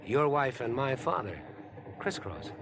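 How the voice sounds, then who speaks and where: A man's voice: mid-range voice, very animated, a little slow. An actor in a 1950s radio drama playing an overfriendly, wheedling man who obsessively plots murder. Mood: eager, scheming. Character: chatty, ingratiating, obsessive murder-plotting man on a train. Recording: vintage 1950s radio broadcast.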